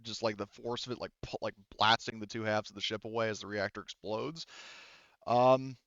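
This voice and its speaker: A man running a tabletop game as game master, narrating and thinking aloud in a halting way. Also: fairly low pitch, steady tone, a bit bright, no echo, highs cut off.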